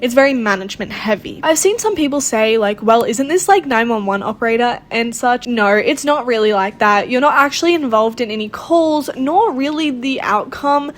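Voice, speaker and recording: A woman's voice: on the high side, animated, normal speed, few pauses. A woman giving casual gameplay commentary in a sarcastic, exasperated, complaining way. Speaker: gaming video host.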